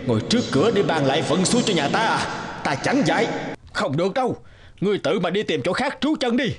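A man's voice: high voice, lively intonation, brisk, reverberant, in a large hall. A man playing a haughty, mean, middle-aged rich villager in a cartoon, with a scornful, scolding delivery. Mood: scornful, angry. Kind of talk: cartoon dubbing.

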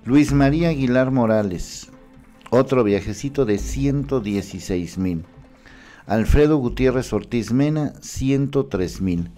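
A man's voice: medium pitch, unhurried, faint music behind. A man in his fifties with a Mexican accent, giving emphatic, indignant political commentary to camera from a small studio on a mic in frame. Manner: emphatic, indignant, animated hand gestures. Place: small studio with city backdrop.

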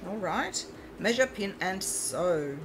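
A woman's voice: medium pitch, lively intonation, takes it slowly, noisy background. A woman with a mixed, mostly Australian English accent, whose first language is from Eastern Europe, chatting casually as she plays a mobile game and reads its prompts aloud. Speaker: let's play host. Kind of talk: casual gameplay commentary.

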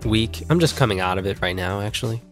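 Congested male podcast host, low voice. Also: quick pace, over music. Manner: joking, rambling, stumbling over words. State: congested from a cold. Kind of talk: casual podcast chat.

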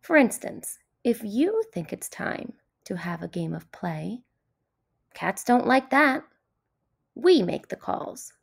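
A woman's voice: fairly high pitch, very animated, slow pace, creaky, slightly rough, exaggerated voice. A woman voicing a finicky, bossy, indignant cat in a children's picture book, with an emphatic, dramatic delivery. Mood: firm, fussy.

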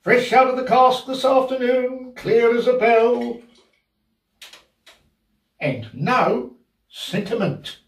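Pompous older male beadle played by a man, Victorian period comedy. Slow, grandly halting, roomy sound. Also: very high voice, exaggerated voice. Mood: boastful. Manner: pompous, halting, chuckling delivery. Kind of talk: theatrical period comedy acting. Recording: roomy, distant camera microphone.

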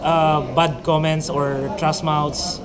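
A man's voice: on the high side, level tone, slow pace, crowd noise. A man who vlogs, chatting casually at a café and chiming in with friendly questions. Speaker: vlogger. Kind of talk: casual interview chat. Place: busy indoor café.

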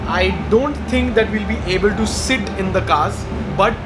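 A man's voice: high-pitched, normal speed. A young man, vlogging casually and enthusiastically at an outdoor car show, talking into the camera with filler "uh"s. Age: young adult. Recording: loud music behind the voice.

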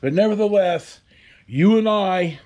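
A man in his fifties hosting a casual storytime to camera, with a halting, wry delivery. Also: sits high, darkish tone. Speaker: host. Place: covered outdoor patio at a house.

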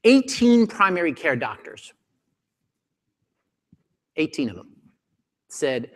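A man in his forties giving a keynote talk on a conference stage, animated and acting out the voices of doctors, on a lapel mic. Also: fairly high pitch, takes it slowly, in a large hall. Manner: animated, acts out doctors' voices, gestures a lot. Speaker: keynote speaker. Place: large conference hall stage.

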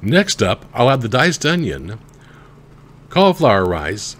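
A man's voice: medium pitch, sing-song, a little slow, fairly bright tone. A man hosting a home cooking show, explaining ingredients and measurements step by step. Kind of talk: recipe instruction voice-over.

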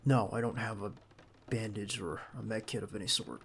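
Casting: male actor as protective bodyguard for ASMR roleplay; creaky, mid-pitched, hushed and reassuring, some background noise. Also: fairly even intonation. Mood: uneasy, conversational. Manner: hushed, halting, reassuring, close to the listener. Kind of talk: intimate ASMR roleplay acting.

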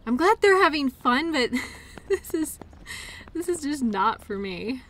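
Woman doing a caring playful girlfriend in a roleplay audio, highish bright voice, breezy and affectionate. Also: big pitch swings, unhurried, full character voice. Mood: playful, tired. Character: tired, playful, caring girlfriend at a mud race. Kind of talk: intimate roleplay audio acting, one-sided conversation.

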